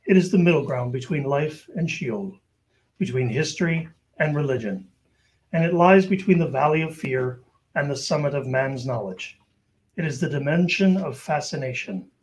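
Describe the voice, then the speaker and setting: A man narrating an old-time radio show in a solemn, deliberate storytelling manner. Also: mid-range voice. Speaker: narrator of an amateur radio play. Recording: noisy amateur live recording.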